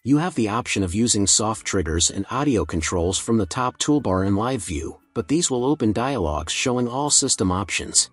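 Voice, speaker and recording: A man reading a scripted software tutorial in a measured, procedural instructional manner. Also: lowish voice, expressive, slightly rough, soft background music.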